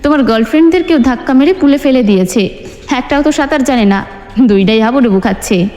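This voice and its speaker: A woman's voice: high-pitched, animated, quick pace, mellow, faint music behind. A woman playing an urgent young male student in an audio story. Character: alarmed young male student bringing bad news. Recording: long hall-like reverb.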